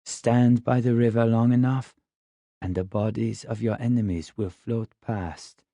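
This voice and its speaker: A man voicing a wise, patient old male mentor in an audiobook, quiet and unruffled. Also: mid-range voice, no room sound. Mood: calm, sage. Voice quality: quiet, hushed. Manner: slow, sage, unruffled. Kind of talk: audiobook character dialogue.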